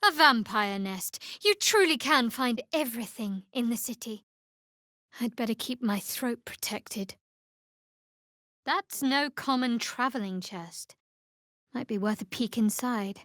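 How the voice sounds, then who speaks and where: A woman playing a guarded, sardonic young cleric of a dark goddess in a video game, with dry, wry delivery. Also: fairly high pitch, measured pace, no echo. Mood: wary, observant. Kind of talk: video game character voice acting.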